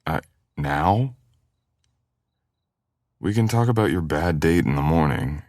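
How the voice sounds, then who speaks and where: A man's voice: a bass voice, animated, slow, darkish tone, no room sound, studio quality, exaggerated voice. A man playing a teasing, caring male best friend in an intimate boyfriend ASMR audio roleplay, with playful grumbling.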